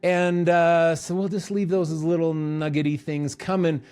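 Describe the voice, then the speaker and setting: A man's voice: on the high side, little pitch movement, normal speed, a little mellow, clear, resonant tone, soft background music. A man co-hosting a podcast in a studio, chatting casually and joking, often laughing. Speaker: podcast co-host. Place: recording studio.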